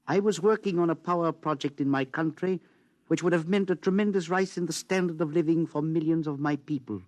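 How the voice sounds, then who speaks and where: An actor playing a foreign engineer who speaks in a measured, formal, quietly accusing way, in a 1950s radio drama. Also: male voice, fairly high pitch, no room sound, close to an everyday voice. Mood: grave. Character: bitter foreign male engineer, calm avenger.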